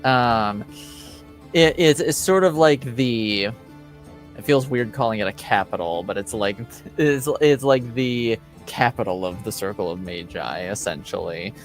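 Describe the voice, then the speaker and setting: A man improvising narration as game master in a tabletop roleplaying game, halting as he searches for his words. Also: lowish voice, faint music behind.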